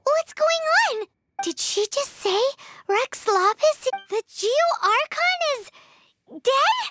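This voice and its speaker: A woman voicing a tiny, excitable fairy girl companion in a video game, squeaky, childlike and dramatic. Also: slow pace, full character voice. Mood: panicked. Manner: dramatic exclamations, speaks of self in third person. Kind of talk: video game cutscene voice acting. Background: loud music.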